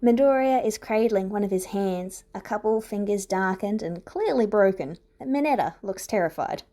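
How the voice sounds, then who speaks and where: A female narrator reads a piece of fiction from a script. Her voice sits at an average pitch, and her storytelling is expressive. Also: normal speed. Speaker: podfic narrator.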